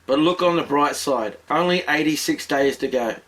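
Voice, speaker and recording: A man's voice: on the high side, moderate pace, a bit bright, poor audio. A man hosting a casual chat, making dry jokes. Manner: dry joking. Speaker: host.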